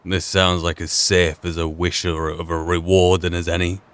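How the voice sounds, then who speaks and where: A man's voice: sits low, flat delivery, normal speed, a bit bright, clear, resonant tone. A man giving reflective commentary on a role-play video game playthrough, weighing things up and posing rhetorical questions. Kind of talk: musing gameplay commentary.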